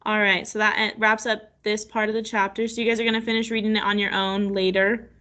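A woman's voice: mid-range voice, flat delivery, quick pace, bright, forceful tone. A woman teacher reading a story aloud and guiding children through an online lesson on a video call.

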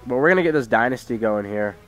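A man's voice: medium pitch, lively intonation, fast, fairly bright tone, soft background music. A teenage boy from Idaho, sick with a sore throat, giving rambling casual commentary at home while he reads out game settings. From Idaho, USA. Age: teens. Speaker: gaming YouTuber. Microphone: headset mic with tangled cords.

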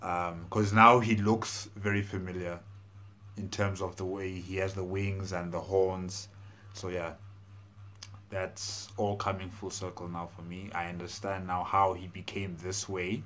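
A man in his thirties giving casual, halting, thoughtful commentary as a video host, indoors on a lapel mic. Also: sits low, little pitch movement, a bit bright, a little hiss or hum. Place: small room indoors.

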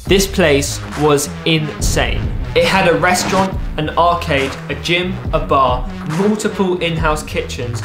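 A young man narrating a personal story in a vlog, lively and wry, with playful asides. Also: a little high, a little slow, hardly any pauses, roomy sound, music in the background. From England. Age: young adult. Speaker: vlogger. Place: small room with a desk lamp, indoors.

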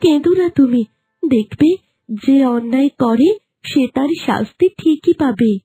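A woman voicing a kind, gentle enchanted girl in a fairy-tale cartoon, speaking with concern and comfort. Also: high-pitched, animated, measured pace, husky, soft background music, full character voice. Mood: consoling. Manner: concerned, consoling.

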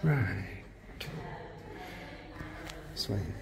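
A man filming a casual walking tour of a church, chatting politely and thinking aloud, sometimes dropping to a hush. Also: low-pitched, animated, very slow, creaky, a crowd in the background. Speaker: vlogger giving a walking tour. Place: large church interior and crypt.

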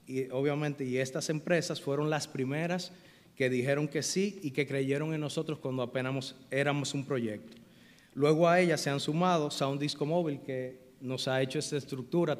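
A middle-aged man gives an earnest, grateful speech at a lectern, hesitating often with fillers. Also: fairly high pitch. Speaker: event organizer giving a speech. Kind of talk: formal thank-you speech. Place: large auditorium stage at a lectern. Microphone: lectern microphone. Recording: long reverb of a large hall.

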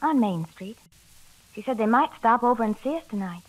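Sweet agreeable american housewife, old crackly radio play, highish polite voice. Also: female voice, lively intonation, brisk. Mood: gentle. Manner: soothing, polite. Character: gentle, agreeable young housewife. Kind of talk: 1940s radio acting. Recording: 1940s radio broadcast with crackly hiss.

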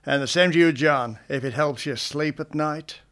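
A man's voice: on the high side, moderate pace, a bit bright, lightly acted. An actor playing Kurtz, a smug and menacing male official in a western radio drama. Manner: smug, controlling.

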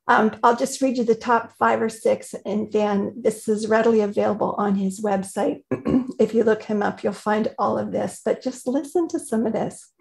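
A woman hosting an interview on a video call from her home, warmly effusive and quick to laugh. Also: fairly high pitch, vocal fry, low-quality recording. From Indiana. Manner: enthusiastic, effusive, laughs often. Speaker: host. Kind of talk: interview host. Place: home room. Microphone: video call webcam.

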